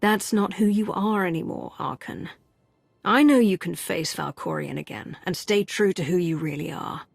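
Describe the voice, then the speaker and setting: An actress voicing a calm, caring and resolute female military commander in a video game, speaking in a measured way. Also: lowish voice, creaky, no echo. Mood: tender, reassuring. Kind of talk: video game cutscene acting.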